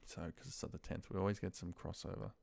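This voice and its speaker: A man giving a casual screen-share walkthrough as a trainer, thinking aloud in a halting, muttering way as he works. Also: sits low, flat delivery, vocal fry, a little mellow, no echo. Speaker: trainer demonstrating office data work. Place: voice-over of a screen recording.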